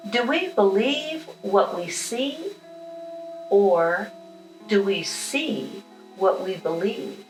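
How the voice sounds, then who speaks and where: A woman leads a guided meditation in a calm, instructional way. Her delivery is markedly slow, with plenty of time given to each short phrase. The sound is noticeably roomy and echoing, with music underneath. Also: mid-range voice. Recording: roomy echo with music behind.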